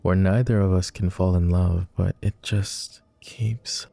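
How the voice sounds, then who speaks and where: A very dark, mellow vampire lord played by a man, deep and heavy with gravelly fry. He speaks slowly and formally, tender and melancholy, as a clingy lover in an intimate roleplay ASMR. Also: steady tone, dry recording. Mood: anguished. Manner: formal, old-fashioned wording, hesitant, tender.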